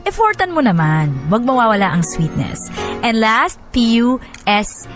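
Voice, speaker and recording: A woman radio host with an expressive delivery, presenting relationship advice and letters on air. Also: a little high, a little slow, soft background music.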